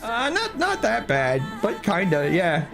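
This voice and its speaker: Streamer type, hoarse man with a slightly high voice, chatty with chat, music under him. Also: huge pitch swings, measured pace. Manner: chatty, greets viewers by name. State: hoarse, losing his voice. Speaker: streamer playing a driving sim. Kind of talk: casual live-stream chat.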